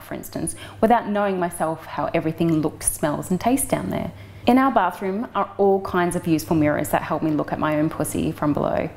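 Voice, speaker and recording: A woman's voice: mid-range voice, darkish tone, a little hiss or hum. A young adult woman giving a frank, matter-of-fact first-person reading of a novel. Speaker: audiobook reader.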